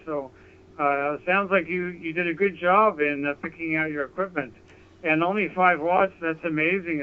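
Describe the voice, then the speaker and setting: A man's voice: a little high, smooth. A man chatting as an amateur radio operator, courteous and complimentary, heard over a digital radio link. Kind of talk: casual ham radio contact. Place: apartment, transmitting over radio. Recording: narrow phone-like digital radio audio.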